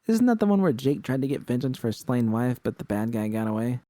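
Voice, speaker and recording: A man's voice: mid-range voice, big pitch swings, fast talker, mellow, no echo, studio quality, pitched above the performer's own voice, lightly acted. A man voicing a sardonic, foul-mouthed young woman in audiobook dialogue. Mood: casual, playful.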